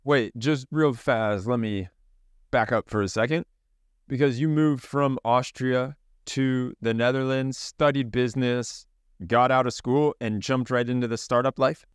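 A man hosting a podcast, asking questions in a brisk, quick manner. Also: mid-range voice, steady tone, clean tone, no room sound, clean studio sound. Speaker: podcast host. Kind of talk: podcast interview.

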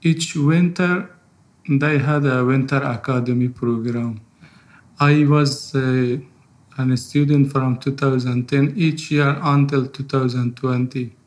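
A man in his thirties from Afghanistan with an Afghan accent and Farsi as his first language, telling his own story in halting English. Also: mid-range voice, steady tone. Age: 30s. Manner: halting, non-fluent English. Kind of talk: personal spoken testimony.

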